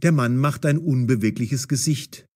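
A man narrating a scripted audio story for language learners in a deliberate, storytelling way. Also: mid-range voice, darkish tone, slightly rough.

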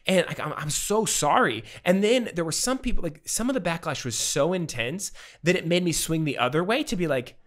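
A man in his thirties, a podcast guest, telling a personal story in casual, animated talk full of fillers and acted-out quotes, on a studio mic at home. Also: fairly high pitch, a little fast, few pauses. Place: home room with bookshelves. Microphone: studio mic with pop filter, headphones.

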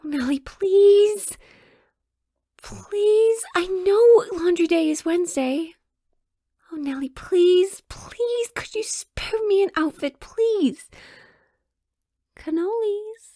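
A woman's voice: very high voice, expressive, takes it slowly, long pauses, mellow, no echo, clean studio sound, heavily acted. A woman playing a sheltered, pleading young royal in a romantic audio drama, with hesitant, formal old-fashioned phrasing. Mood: begging.

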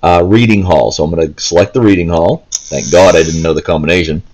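A man's voice: low voice, fast talker, darkish tone. A man giving casual gameplay commentary, explaining step by step in a rambling way. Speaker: gameplay video host. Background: faint game music.

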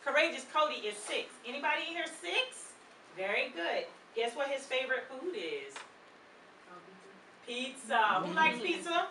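A middle-aged woman doing an interactive read-aloud talk for young children, animated, asking questions and prompting them to repeat words. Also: high-pitched, measured pace. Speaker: children's book author and presenter. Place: children's room in a public library. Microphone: far camera. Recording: distant, echoey room with background noise. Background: room ambience, children.

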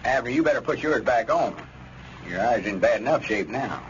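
A pompous, folksy old Southern grocer played by a man in a vintage radio comedy, his voice of middling pitch. The old recording is muffled, with faint noise behind it. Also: lively intonation, normal speed, natural voice. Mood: complaining. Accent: rural Southern American. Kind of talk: 1930s radio comedy acting.

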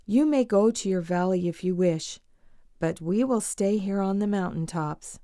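A woman voicing a kind, wise old great-grandfather goat in a storybook, measured and firm. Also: mid-range voice, mellow, no room sound, clean studio sound, lightly acted. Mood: gently advising.

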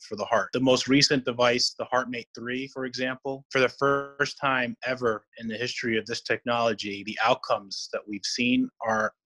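A man's voice: medium pitch, steady tone, moderate pace, few pauses, pushed and bright, low-quality recording. A middle-aged man, an interview guest and surgeon, explaining things conversationally and earnestly on a video call. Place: indoor room, on a video call.

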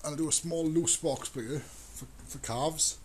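A man's voice: fairly high pitch, normal speed, slight background noise. A man, talking casually and rambling as he walks round his farmyard and shows his work. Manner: rambling, plain-spoken, shows work as he goes. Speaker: farmer vlogger. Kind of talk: casual walk-through vlog. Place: outdoors on a farmyard and in a cow shed. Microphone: action camera on the body.

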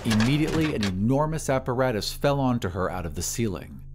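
A man narrating a scripted dramatic story in a measured, literary manner. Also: mid-range voice, animated, music in the background.

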